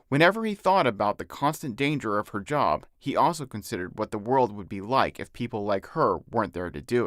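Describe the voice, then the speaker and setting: A man's voice: medium pitch, no echo, studio quality. A man reading his own novel as scripted audiobook narration.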